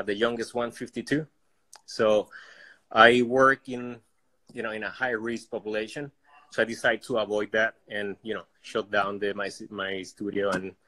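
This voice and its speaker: Puerto Rican man with a medium-pitched voice. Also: sharp tone, poor audio. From Puerto Rico. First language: Spanish. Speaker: caller, personal trainer. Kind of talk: casual chat. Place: indoor room at home. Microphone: phone at arm's length.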